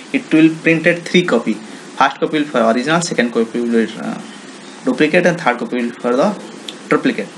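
A man with an Indian accent giving an informal software walkthrough, explaining step by step in simple, repetitive phrases. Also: mid-range voice, slight background noise, poor audio. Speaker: software tutorial presenter. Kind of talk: informal screen-recording walkthrough.

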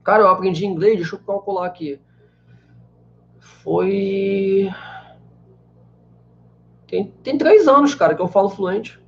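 A young man from Brazil with a fairly high voice answers viewer questions in a loose, rambling way, full of fillers. He talks to a webcam in a small room, and the sound comes through a little dull and lo-fi. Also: slow pace, gravelly, long pauses, slight background noise. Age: 20s. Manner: chatty, many fillers like "né" and "cara". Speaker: language teacher answering live chat. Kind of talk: casual live-stream Q&A. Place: small room indoors with a banner behind.

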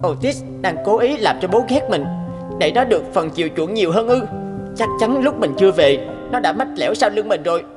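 A woman's voice: medium pitch, animated, fairly quick, long reverb tail, music in the background, lightly acted. A woman voicing a petulant, resentful schoolboy in a cartoon, with a boyish manner. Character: sulky, resentful schoolboy older brother. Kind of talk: Vietnamese animated moral tale dubbing.

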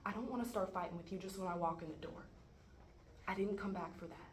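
A woman playing a guarded, exasperated sister in a stage scene, halting at times and sharp at others. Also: mid-range voice, close to an everyday voice. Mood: hesitant, weary. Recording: echoey room, faint background noise.

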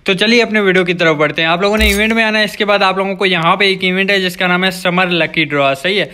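A young man with a Pakistani accent and a notably high-pitched voice hosts a gaming video. He talks viewers through the screen casually, circling back over his points as he explains. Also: pushed and bright. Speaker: gaming channel host.

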